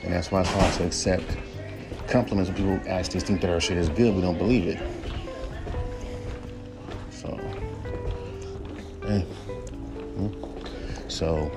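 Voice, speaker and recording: A young man with a droll baritone voice, ranting bluntly and profanely on his solo podcast. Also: vocal fry. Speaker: podcast host and rapper.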